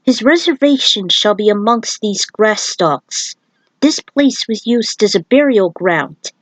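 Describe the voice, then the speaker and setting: A woman's voice: mid-range voice, expressive, slow pace, dry recording, rough recording. Woman voicing a solemn male thunder god mentor. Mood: solemn, instructive. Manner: grave, formal, stately phrasing.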